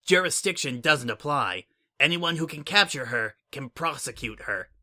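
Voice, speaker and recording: Brief: a man doing a cocky mare secret agent for a storybook reading, raised bright voice, breezy and mocking. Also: fairly high pitch, expressive, moderate pace. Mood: smug. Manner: flippant, casual and mocking. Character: brash, irreverent mare spy, smug and flippant. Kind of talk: fan dramatic audiobook reading.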